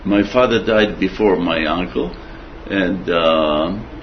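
An older man with a very deep voice recalls his past in an interview. He speaks haltingly, filling gaps with many fillers and repeating words. The recording is muffled and lo-fi, with a faint hiss behind him. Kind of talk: oral history interview. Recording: dull lo-fi recording.

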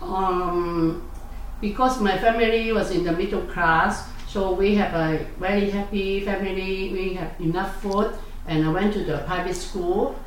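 A woman's voice: mid-range voice, steady tone. Hesitant older woman, echoey. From South Vietnam. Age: 60s. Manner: hesitant, halting with many fillers and self-corrections. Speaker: interviewee. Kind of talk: oral history interview. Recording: echoey room, distant mic, faint noise.

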